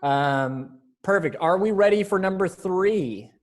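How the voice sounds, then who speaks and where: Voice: mid-range voice, expressive, measured pace. A person casually directing a group readers theater rehearsal, hosting and giving instructions.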